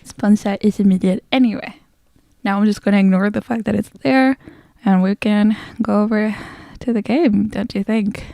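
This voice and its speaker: Soft, creaky-voiced woman streaming ASMR. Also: mid-range voice, fairly even intonation, moderate pace, no echo, clean studio sound. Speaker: livestreamer greeting chat and hosting a game stream. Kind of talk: soft-spoken ASMR, casual livestream chat.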